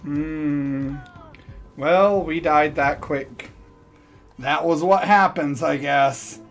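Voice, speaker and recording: A man with a slightly high voice commentates a game casually. He talks slowly and hesitantly, poking fun at himself, with music playing behind him. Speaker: game streamer.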